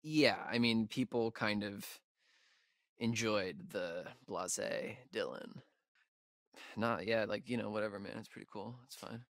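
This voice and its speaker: An actor playing an awkward, nerdy young camp counselor in a video game, an American man who talks in a halting, self-conscious, easygoing ramble. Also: lowish voice, a bit bright, no echo, clean studio sound. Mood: sheepish, awkward. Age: young adult. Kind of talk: naturalistic game dialogue.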